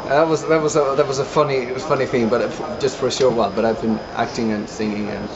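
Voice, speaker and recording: A man speaks at an ordinary pitch, friendly and a little hesitant, with "uh" fillers. The sound is dull, with loud background noise from a busy press room. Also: fairly even intonation, fast talker. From Iceland. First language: Icelandic. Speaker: singer and actor. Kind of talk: casual interview chat.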